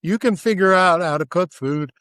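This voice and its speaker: A man's voice: sits high, moderate pace, fairly bright tone, dry recording, studio quality. A man chatting casually as an interview guest, with a folksy, colloquial, enthusiastic manner. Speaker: interview guest, barbecue cook.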